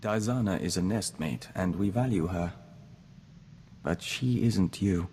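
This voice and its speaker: An actor playing a gentle, earnest man joined to an insect hive mind, measured and formal in scripted game dialogue. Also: low voice. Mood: soft, sincere. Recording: noisy game capture with long reverb.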